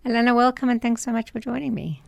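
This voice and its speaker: A woman's voice: a little high, fairly even intonation, fast talker, gravelly, no room sound. A woman hosting a radio interview show, chatty and personal, with fillers and restarts.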